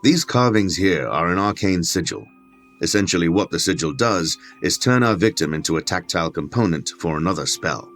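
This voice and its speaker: A man's voice: low-pitched, expressive, fairly quick, fairly bright tone, faint music behind. An actor playing a learned, pedantic clergyman scholar in an audio drama, precise and dryly sardonic. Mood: dry, explanatory. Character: learned, condescending male occult scholar, clergyman. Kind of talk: dramatic audio fiction acting.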